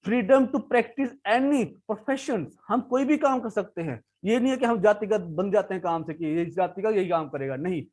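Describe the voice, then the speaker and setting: Indian guy teaching civics, high voice, asks a question then answers it, reads the english bits slow. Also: darkish tone. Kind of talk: explaining a civics lesson.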